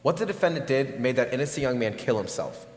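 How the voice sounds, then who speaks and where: A man's voice: medium pitch, animated, fast, natural voice. A man playing an indignant, passionate juror in a live stage play, arguing earnestly. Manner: earnest, argumentative. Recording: echoey hall stage recording.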